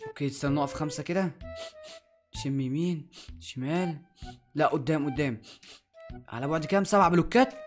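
A young man with an Egyptian Arabic accent hosting a gaming video in an animated way, addressing viewers and repeating phrases. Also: fairly high pitch, brisk, long pauses, music in the background. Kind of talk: excited gameplay commentary.

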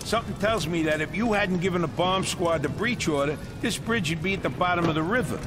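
An actor playing a cynical, dismissive law officer in a video game. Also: male voice, high voice, animated, brisk. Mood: skeptical. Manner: skeptical, dismissive. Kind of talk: video game cutscene acting. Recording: noisy outdoor ambience.

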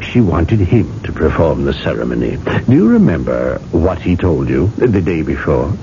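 Deep, gravelly, relentless interrogator. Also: male voice, sing-song, warm tone, exaggerated voice. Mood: relentless, accusing. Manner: probing, deliberate, interrogating. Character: stern, knowing male interrogator, calmly relentless judge. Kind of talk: 1980s radio drama acting. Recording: dull, noisy old radio recording.

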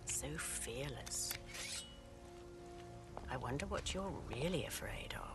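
An actress playing a cold, haughty older female crime boss with a condescending, deliberate manner in a video game. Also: extremely low pitch, animated, over loud music, exaggerated voice. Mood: mocking, menacing. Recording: rain and score behind.

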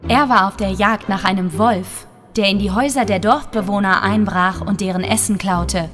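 A woman's voice: mid-range voice, steady tone, moderate pace, a bit bright, soft background music. A woman narrating a scripted children's fairy tale as a storyteller.

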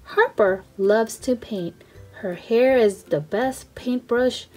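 A woman reading a children's storybook aloud in an expressive, simple storytelling manner for young children. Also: fairly high pitch, slow, soft background music, low-quality recording. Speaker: read-aloud channel host.

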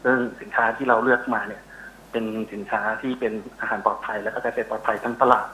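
Bright, forceful male interview guest. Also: fairly low pitch, level tone, fast talker, slight background noise, poor audio. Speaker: interview guest, university researcher. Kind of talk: explanatory interview answers. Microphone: telephone call.

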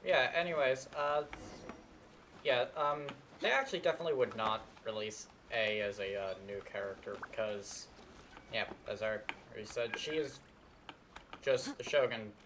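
A man's voice: medium pitch, moderate pace, background noise. A young man giving casual live game commentary, rambling and muttering to himself. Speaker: game streamer. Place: indoor room at a desk.

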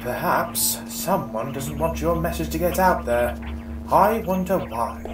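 A man's voice: a little high, lively intonation, a little slow, music in the background, voice pushed up, rough recording. A man voicing a curious, theatrical alien time traveller who muses aloud to himself in an audiobook. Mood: pondering.

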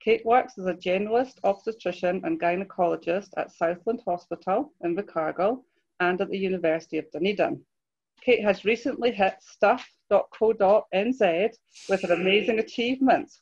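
A woman's voice: mid-range voice, darkish tone. Woman host introducing a speaker. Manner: polite, formal. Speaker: webinar host. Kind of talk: scripted introduction. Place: home room, video call. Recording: slightly dull video call audio.

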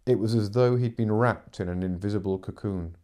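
A man's voice: fairly low pitch, brisk, warm tone. A middle-aged man reading fiction aloud as a narrator, in dramatic audiobook style. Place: living room, seated in armchair.

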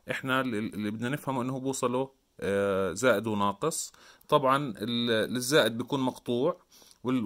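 A man's voice: medium pitch, fairly bright tone. A man with a Levantine Arabic accent presenting a hands-on tech tutorial, explaining informally step by step.

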